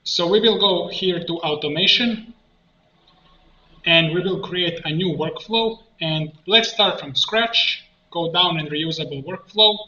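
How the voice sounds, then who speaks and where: A man giving an unscripted screen-share software tutorial, explaining step by step in a halting way. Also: a little high. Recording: muffled roomy mic with faint noise.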